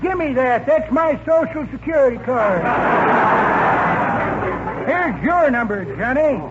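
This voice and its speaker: A man's voice: extremely high pitch, expressive, unhurried. An actor playing a cheeky, folksy old man with sly schemes in a 1940s radio comedy. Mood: sly, chipper. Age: older. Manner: folksy, drawling familiar address. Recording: dull noisy 1940s radio recording.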